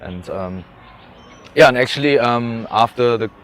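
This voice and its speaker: A young man from Germany, a musician being interviewed, who talks in a relaxed, hesitant way with lots of fillers and laughs. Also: medium pitch, measured pace. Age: young adult. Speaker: musician guest. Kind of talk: casual interview. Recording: faint background noise.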